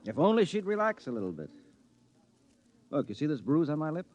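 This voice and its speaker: Wry married everyman, animated, vintage radio. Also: on the high side, brisk. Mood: weary, wry. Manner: wry, folksy, incredulous. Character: weary, wisecracking married man, curious and skeptical. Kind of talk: 1950s radio drama acting. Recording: dull 1950s radio recording.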